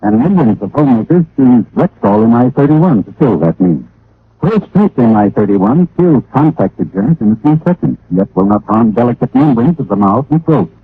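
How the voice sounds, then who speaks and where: A man's voice: mid-range voice, very animated, normal speed, slight background noise. A man reading a scripted mid-century radio commercial as an announcer, on a muffled old broadcast. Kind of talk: scripted 1950s radio advertising.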